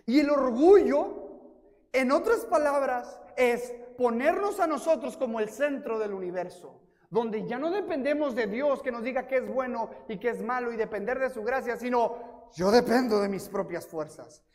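A man from Mexico with a Mexican accent, preaching a sermon as pastor, telling self-mocking stories and acting out quotes in a lively way. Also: very high voice, moderate pace. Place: church. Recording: reverberant church hall.

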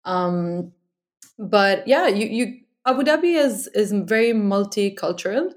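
A woman's voice: mid-range voice, expressive, a little slow. Cheerful young woman guest chatting. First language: French-educated Lebanese. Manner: cheerful, laughs often. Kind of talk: casual chat.

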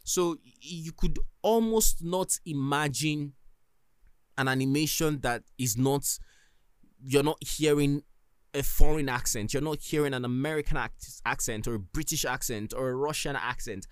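Nigerian-accented man, podcast host, emphatic advice, mid-pitched, dry close recording. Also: moderate pace, smooth, dry recording. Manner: earnest, advising, emphatic. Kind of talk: solo podcast talk.

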